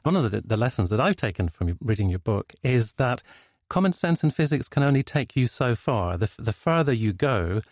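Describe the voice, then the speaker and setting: A man's voice: mid-range voice, big pitch swings, a little fast, a little mellow, dry recording, studio quality. A man working as an interviewer, building long, considered questions with some hesitation.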